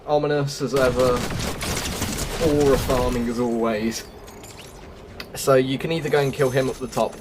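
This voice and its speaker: A man's voice: medium pitch, background noise. A man hosting a video game walkthrough, giving casual running commentary on the play. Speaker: gaming video host.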